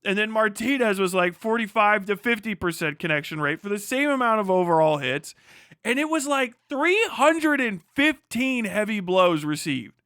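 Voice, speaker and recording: High-pitched man riffing on a livestream. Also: lively intonation, moderate pace, pushed and bright, clean tone, no echo, studio quality. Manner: rambling, heavily profane, emphatic. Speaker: livestream host. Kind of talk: casual opinionated livestream commentary.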